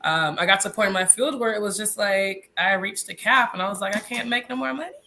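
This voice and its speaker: Guest: 30s woman, stuffy nose, bright nasal voice, laughs easily. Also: medium pitch, fast talker. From Mississippi, US. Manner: chatty, laughs often. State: congested, a little nasal. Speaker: guest, nurse. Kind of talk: casual interview.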